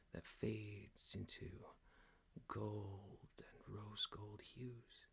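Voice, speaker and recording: Guy playing a gentle boss guy doing asmr guided meditation, low breathy whispery voice with gravelly fry, soothing. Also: heavily acted. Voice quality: breathy, hushed. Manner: slow, deliberate, gentle.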